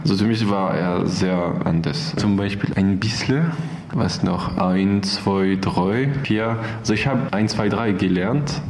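A man's voice: low voice, a little slow, slight background noise. A young man from France, answering interview questions while searching for words in learner's German. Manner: searching for words, many fillers. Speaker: volunteer interviewee.

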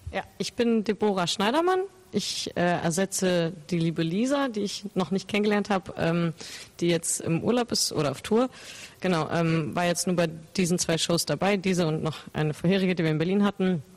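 A woman's voice: fairly low pitch, moderate pace. A woman, an actress, introducing herself casually in an interview.

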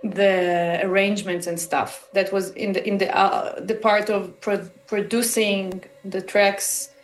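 A woman's voice: medium pitch, level tone, takes it slowly. Israeli-born woman, English, thinking aloud with many restarts; echoey room, faint music beneath. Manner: thoughtful, hesitant, with many restarts. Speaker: musician guest. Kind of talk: interview answers.

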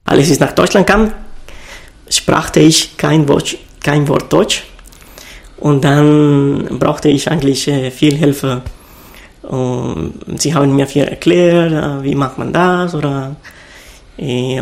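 A man's voice: fairly high pitch, fairly even intonation, a little slow, gravelly, a little mellow. A man answering interview questions in hesitant German, with fillers along the way.

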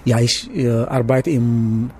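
A young man from Senegal who learned German as an adult, answering interview questions about his cooking apprenticeship, often pausing to search for words. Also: medium pitch, level tone, a little mellow, slight background noise. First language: not German. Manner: halting, searching for words with many hesitations. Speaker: interviewee, trainee cook. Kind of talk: casual interview.

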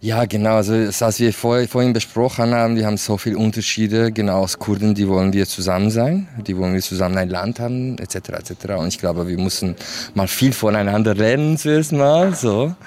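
A man's voice: on the low side, fairly quick, darkish tone. A man, talking as a guest in a casual, hesitant way with many fillers and laughs. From Kurdish region of Iran. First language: Kurdish. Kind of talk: casual interview talk.

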